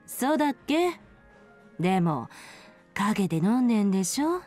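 An actress playing a proud, casual, teasing big sister who tends a bar, in a video game drama. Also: female voice, medium pitch, big pitch swings, moderate pace, darkish tone, faint music behind.

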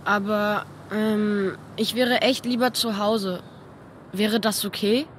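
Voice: medium pitch, fairly even intonation, slow, fairly bright tone, slight background noise. A performer voicing an eager, polite young boy with a slightly shy manner in video game dialogue.

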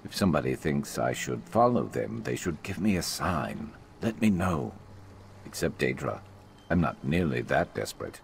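A man playing a wry, gruff reptilian adventurer companion in a video game, with a gravelly, dry, deadpan grumble. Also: a bass voice, moderate pace, a little hiss or hum, exaggerated voice. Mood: dry, grumbling.

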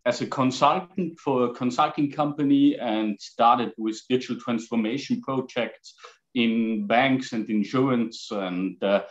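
A man in his forties from Austria, speaking English with an Austrian accent as a guest on a video call, halting with fillers. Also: mid-range voice. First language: German. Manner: gestures while talking, halting with fillers. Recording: slightly dull video call audio.

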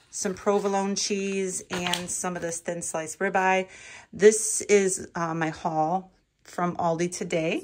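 An older woman and home cooking vlogger, chatting casually and explaining each step plainly with small fillers in her kitchen. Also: fairly low pitch.

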